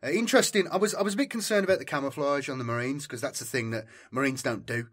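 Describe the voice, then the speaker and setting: A man's voice: mid-range voice, fast, fairly bright tone. A male host talks casually to his viewers without a script. He rambles along informally, thinking aloud as he goes. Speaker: channel host. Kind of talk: casual unscripted chat to viewers.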